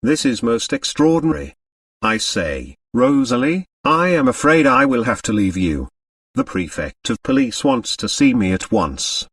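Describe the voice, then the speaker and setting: Man acting breezy, flippant upper-class gentleman. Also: mid-range voice, big pitch swings, a little slow, breathy edge, dry recording, distant mic, full character voice. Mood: surprised, apologetic. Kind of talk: audiobook character voice.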